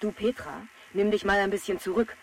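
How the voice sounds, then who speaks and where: A woman playing a sensible, brisk hairdresser speaking over a radio intercom in a children's radio play. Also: medium pitch, fairly even intonation. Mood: sceptical, firm. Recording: distant, noisy radio link.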